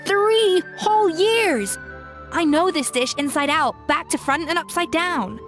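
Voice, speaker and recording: An actress playing a proud, brilliant chef who mentors bluntly and scolds with exasperation in a game cutscene. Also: female voice, extremely high pitch, measured pace, a bit bright. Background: faint game music.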